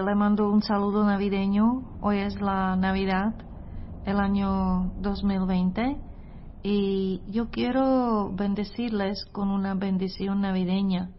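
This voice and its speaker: A woman's voice: mid-range voice, muffled recording, slight background noise. A woman who grew up in Czechia, whose first language is Czech, gives a Christmas devotional message in careful, hesitant, non-native Spanish. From Czech Republic. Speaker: missionary preacher.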